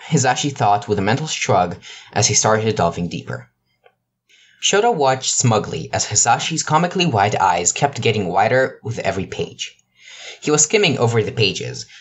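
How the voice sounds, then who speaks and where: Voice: mid-range voice, breathy edge. An amateur narrator reading a scripted piece of fiction. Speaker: narrator, amateur podfic reader.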